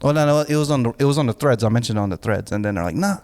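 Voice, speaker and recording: A man's voice: medium pitch, racing, a little mellow. A man hosting a podcast, bantering casually with a joking, teasing manner. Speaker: podcast host.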